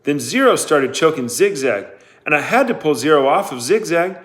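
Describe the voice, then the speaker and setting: A man's voice: fairly high pitch, very animated, moderate pace, fairly bright tone, voice pushed up. A man reading aloud, voicing a blunt teenage boy explaining a fight.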